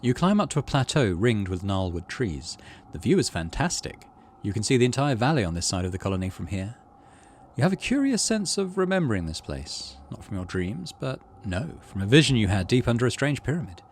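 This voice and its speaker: A man's voice: mid-range voice, very animated, brisk, a little hiss or hum. A man hosting a gaming video, reading story text aloud and adding casual commentary.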